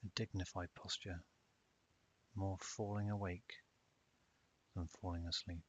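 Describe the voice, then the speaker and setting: Man, meditation guide, very even delivery, calm, unhurried pauses, muffled lo-fi audio. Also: low voice. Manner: calm, measured, with long pauses. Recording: dull lo-fi audio with hiss.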